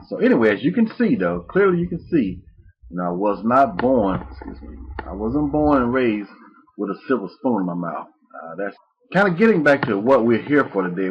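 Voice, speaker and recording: A man from Mississippi, presenting a live webinar in a rambling, folksy way with restarts. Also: on the low side. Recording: muffled lo-fi webinar audio.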